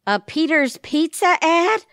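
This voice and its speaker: An actress playing a harried, sardonic older marketing executive with a dry, exasperated manner in an audio theatre comedy. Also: female voice, extremely high pitch, very animated, slow, fairly bright tone, no echo, full character voice.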